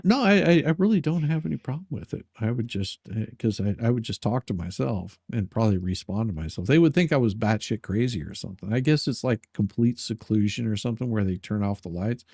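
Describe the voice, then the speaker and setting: A man's voice: lowish voice, lively intonation, fairly quick, vocal fry, few pauses, darkish tone, slightly rough, no room sound, clean studio sound. A man hosting a casual livestream, rambling and repeating his words, with a crude, joking streak. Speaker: livestream host.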